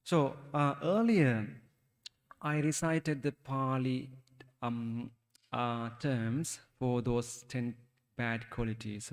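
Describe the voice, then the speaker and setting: A man with a Sri Lankan accent, a Buddhist monk giving a talk to young listeners, speaking haltingly and asking them questions. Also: mid-range voice, a little mellow, in a large hall. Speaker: Buddhist monk teaching youth. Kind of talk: Dhamma talk for children.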